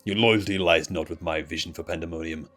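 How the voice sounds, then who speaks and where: A man voicing a stern, commanding immortal ancient from a fantasy game in formal, solemn, measured declarations. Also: deep voice, fairly even intonation, a bit bright. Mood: accusing. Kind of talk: dramatic reading of fantasy game dialogue. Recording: music under the voice.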